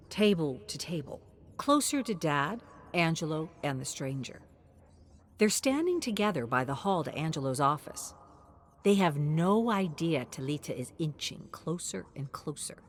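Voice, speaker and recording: A woman reading scripted storybook narration in a measured, expressive storytelling manner. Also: low-pitched, a little hiss or hum. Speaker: narrator of character lore.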